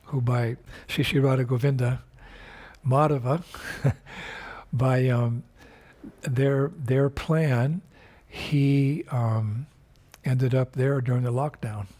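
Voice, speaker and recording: An older man speaks in a husky, slightly breathy voice at an ordinary middle pitch. He talks slowly and reflectively, as a spiritual teacher in a recorded video message, often pausing and restarting his sentences. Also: fairly even intonation, dark tone. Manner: unhurried, reflective, with frequent fillers and restarts.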